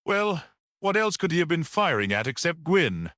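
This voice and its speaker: A man's voice: fairly high pitch, big pitch swings, moderate pace, bright, forceful tone, dry recording, studio quality, voice pushed up. A man voicing a blunt, grimly good-natured police detective in a mystery story, curt and questioning. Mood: puzzled, short.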